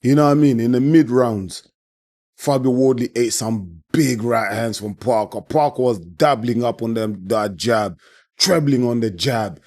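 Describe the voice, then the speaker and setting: Hoarse man, mellow tone, passionate, repetitive casual talk. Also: medium pitch, measured pace. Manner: passionate, repeats himself, keeps saying "man". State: hoarse, voice worn out from shouting. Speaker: boxing channel host. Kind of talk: casual post-fight reaction monologue.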